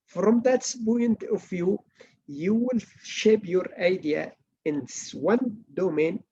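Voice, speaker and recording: A middle-aged man from Malaysia, giving an academic writing workshop lecture in earnest, halting English with many restarts. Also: high voice, dark tone, husky, muffled recording. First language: not English.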